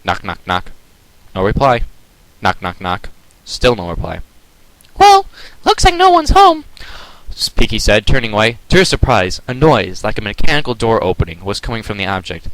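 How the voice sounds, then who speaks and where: A man's voice: fairly low pitch, a little fast, fairly bright tone, rough recording. A man reading his own fan fiction aloud late at night with a sore throat, casual and hesitant. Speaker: amateur reader. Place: home at night.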